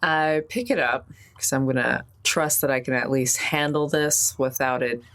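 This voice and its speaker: Female tabletop player, low register, casual and wry game-table chat. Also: normal speed, fairly bright tone. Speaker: tabletop role-playing player.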